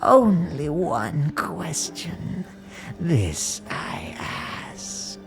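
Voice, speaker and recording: A woman playing an ancient, cunning hag in an audio drama, speaking in cryptic riddles with a creaky, cackling voice that slips into breathy whispers. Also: low voice, sing-song, drawn-out words, music in the background, full character voice. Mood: grave, hungry. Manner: cryptic, archaic inverted phrasing, lingering and theatrical. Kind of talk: theatrical audio drama acting.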